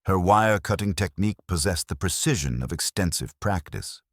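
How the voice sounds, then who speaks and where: A man reading a cosy mystery as scripted narration in a measured, wry manner. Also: deep voice, lively intonation, no room sound, clean studio sound.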